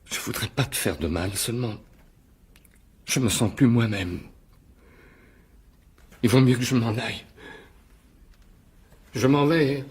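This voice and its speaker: An actor playing an unstable, lovesick stage actor in a radio mystery, husky, brooding and hesitant. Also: male voice, medium pitch, slow, pauses often. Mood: anguished, lovesick. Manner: brooding, hesitant, repeating himself. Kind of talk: French radio mystery drama acting.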